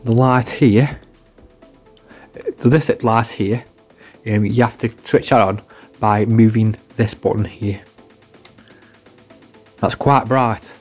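A man's voice: lowish voice, steady tone, takes it slowly, pauses often, darkish tone, faint music behind. Casual, rambling man reviewing a product. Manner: informal, rambling, repetitive.

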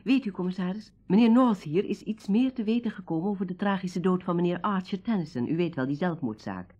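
A woman's voice: lowish voice, expressive, a little fast, dark tone, breathy edge. An actress playing a confident, capable woman who helps servants, in a Dutch radio drama. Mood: concerned.

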